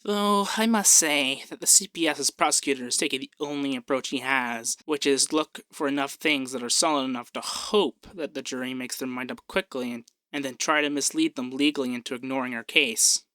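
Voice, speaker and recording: Man playing a bright-voiced peppy young femboy barrister. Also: medium pitch, brisk, hardly any pauses, dry recording, lightly acted. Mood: reassuring, businesslike.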